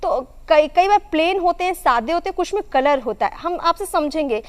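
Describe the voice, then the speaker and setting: Female TV host, high voice, interview questioning on a morning show. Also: animated, moderate pace.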